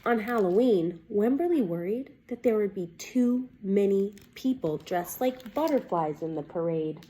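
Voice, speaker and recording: Slow, mellow woman reading to kids. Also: medium pitch, expressive, slight background noise, low-quality recording. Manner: slow, deliberate storytelling for young children. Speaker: teacher reading a picture book aloud. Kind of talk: expressive children's read-aloud with questions to listeners.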